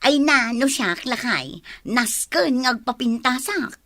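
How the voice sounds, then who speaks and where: Woman as a bright, sassy, vain Ilocano wife. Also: on the high side, animated, slow pace, no room sound, full character voice. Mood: preening. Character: vain, sassy, newly glamorous office-working wife. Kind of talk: exaggerated Ilocano radio comedy acting.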